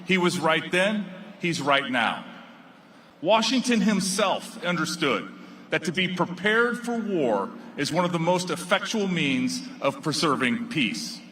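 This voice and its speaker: A man delivering a formal, rhetorical speech at a ceremony with a solemn, measured cadence. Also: on the high side, big pitch swings, bright, forceful tone, slight background noise. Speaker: speaker at a formal celebration.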